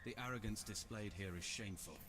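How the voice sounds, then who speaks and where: A calm fantasy game god, played by a man, with a fairly low voice. He disapproves in measured, moralizing tones over noisy ambience. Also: lightly acted. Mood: reproachful. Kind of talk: fantasy video game voice acting.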